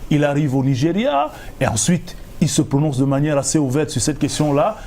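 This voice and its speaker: A man with a Cameroonian accent hosting a formal current-affairs interview, building long, deliberate questions clause by clause. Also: on the high side, lively intonation, dark tone, slight background noise. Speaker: TV interviewer, host.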